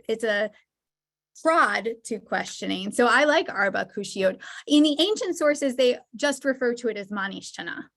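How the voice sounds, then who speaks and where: Woman lecturer on a video call, slightly high voice, thoughtful. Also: fairly bright tone. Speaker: presenter of an online lecture. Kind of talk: online lecture and Q&A.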